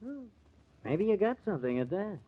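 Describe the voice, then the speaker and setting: An actor playing a defensive, slangy ballplayer in an old-time radio crime drama. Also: male voice, high voice, big pitch swings, normal speed. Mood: speculating. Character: defensive, slangy male ballplayer, a team shortstop. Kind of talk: 1940s radio acting. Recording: tinny, narrow-band old radio recording.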